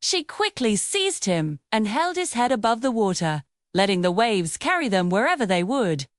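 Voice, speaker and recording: A woman storyteller narrating a scripted fairy tale in a measured, dramatic way. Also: mid-range voice, sing-song, no echo, clean studio sound.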